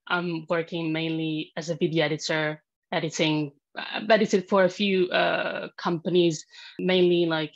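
Twenties woman, from Italy, low voice, hesitant, lo-fi call sound. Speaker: freelance video editor. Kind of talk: casual self-introduction. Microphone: webcam on a video call. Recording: dull lo-fi call audio.

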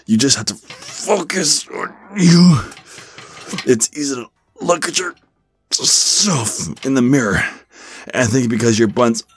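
Man playing a deep-voiced, supportive werewolf gym bro. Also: animated, unhurried, darkish tone. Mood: straining, encouraging. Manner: hesitant, stammering, encouraging. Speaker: voice actor in an ASMR roleplay. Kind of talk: ASMR roleplay, one-sided conversation.

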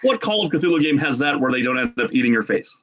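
Man, forties, mid-pitched, jokey casual storyteller, dull video-call sound. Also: animated, fast, a bit bright. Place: home room. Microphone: computer mic on a video call.

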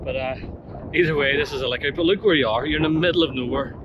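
Matey man vlogging in loud wind noise. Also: mid-range voice, rapid-fire, dull audio. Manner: colloquial, matey. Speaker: hiking vlogger. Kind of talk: casual outdoor vlog chat. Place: open mountain hillside, outdoors. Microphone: action camera at arm's length. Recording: windy outdoor action-camera audio, loud noise.

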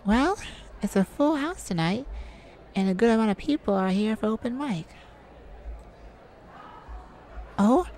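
A woman's voice: medium pitch, dark tone, a little hiss or hum, voice pushed down, natural voice. A woman voicing a shy, sweet, easily flustered young male singer in an ASMR roleplay, with a stammering, earnest manner. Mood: sweet, bashful.

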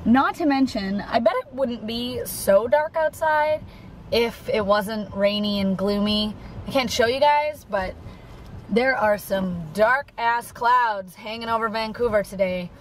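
A woman's voice: a little high, measured pace. A young woman in her twenties chatting casually to her camera while driving at night, rambling with lots of fillers. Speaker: vlogger. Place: inside a car at night. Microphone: camera on a dashboard mount. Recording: camera mic with road and car noise.

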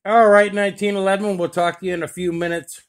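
A man with a slightly high voice chats casually over CB radio. He uses operator lingo in an easy, conversational way. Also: little pitch movement, quick pace, slight background noise. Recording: CB radio chatter, radio sound.

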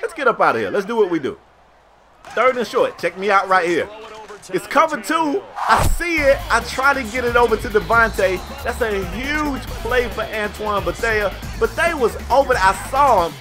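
An American man giving casual, slangy play-by-play commentary on a sports video game in an animated storytelling manner. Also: high-pitched, normal speed, music in the background.

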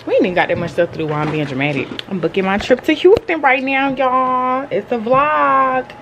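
A woman's voice: sits high, lively intonation, fairly quick, music in the background. A young woman vlogging in casual chat to her viewers, blunt and fired up, swearing freely as she talks from her car. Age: young adult. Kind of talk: casual vlog chat to camera. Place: inside a car. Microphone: phone camera on dashboard. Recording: clear car road noise. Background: car and road noise.

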